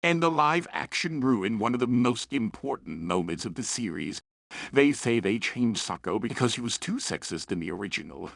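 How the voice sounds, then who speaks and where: A man's voice: mid-range voice, big pitch swings, moderate pace, creaky, fairly bright tone, dry recording. A man delivering a scripted review with opinionated, emphatic delivery. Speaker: story reviewer.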